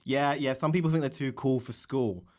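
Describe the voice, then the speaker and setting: A man's voice: medium pitch, moderate pace. A man from Norfolk, England, with an English accent, chatting in a casual, rambling and silly way as a comedian guest on a podcast. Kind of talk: casual comic chat.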